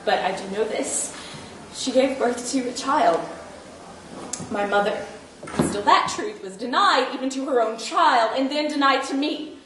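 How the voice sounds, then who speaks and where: An actress playing a rigid, devout and questioning grandchild in a staged play reading. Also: female voice, high voice, measured pace, a bit bright. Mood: stern, testifying. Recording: very echoey stage, distant mic, noisy.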